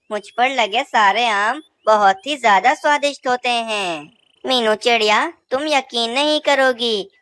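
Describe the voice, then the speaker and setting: A woman's voice: a little high, a bit bright, smooth, no room sound. A woman voicing a proud male mango tree in a cartoon, grown boastful about his fruit. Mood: friendly. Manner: pleading then haughty. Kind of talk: cartoon voice acting.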